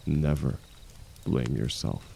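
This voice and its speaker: A man playing an aging, wounded warrior, the hero's loyal and gentle shield, speaking softly and haltingly in an intimate audio roleplay. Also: a bass voice, gravelly, a little hiss or hum, heavily acted. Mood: resigned, earnest. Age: older. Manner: soft, halting, confiding, reassuring.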